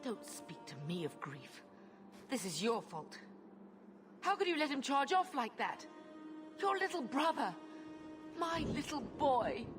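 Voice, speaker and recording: A woman's voice: high-pitched, big pitch swings, unhurried, long pauses, over music. An actress voicing a middle-aged mother grieving her son, with an anguished, accusing manner.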